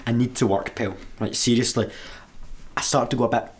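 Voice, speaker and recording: An actress playing an anxious, sardonic young jobseeker in a radio comedy, fretful, grumbling and dramatic. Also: female voice, very deep voice, fairly even intonation, brisk, a little mellow, a little hiss or hum. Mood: panicked. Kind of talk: radio sci-fi comedy acting.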